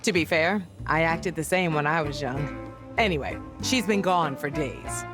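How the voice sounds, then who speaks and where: A woman's voice: deep voice, measured pace, over music. A woman playing an easygoing, indulgent middle-aged royal mother in a video game cutscene, breezy and airy. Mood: breezy, fond.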